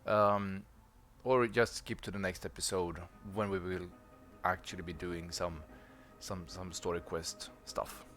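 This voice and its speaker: Guy with a low voice rambling casually over his gameplay, lots of ums. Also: little pitch movement, measured pace, fairly bright tone, soft background music. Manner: rambling, hesitant with fillers. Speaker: gameplay video host.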